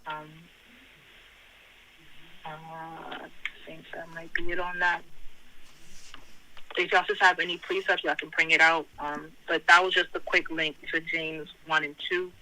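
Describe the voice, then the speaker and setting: A man with a very bright, forceful voice, pitched fairly high and slightly hoarse, teaches scripture informally. He repeats his phrases and hesitates as he goes. The sound is that of a tinny conference phone line. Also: level tone, a little slow, pauses often. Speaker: Bible study speaker. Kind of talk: informal scripture teaching, reading verses aloud.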